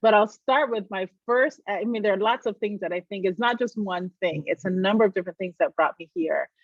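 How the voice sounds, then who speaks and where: A woman's voice: mid-range voice, brisk, no room sound. A middle-aged woman from Barbados, a psychologist, reflecting thoughtfully as a guest in an interview. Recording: dry tinny phone-like line.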